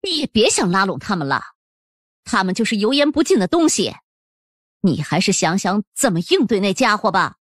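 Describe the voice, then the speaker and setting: A woman's voice: mid-range voice, big pitch swings, moderate pace, no room sound, clean studio sound. A woman playing a haughty, indignant official's wife and grandmother in an audiobook drama, scolding and huffing.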